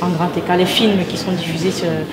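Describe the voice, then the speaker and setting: A woman's voice: fairly low pitch, fairly even intonation, quick pace, a loud crowd, low-quality recording. A woman hosting a television interview show, asking her questions in loose, reworded phrasing.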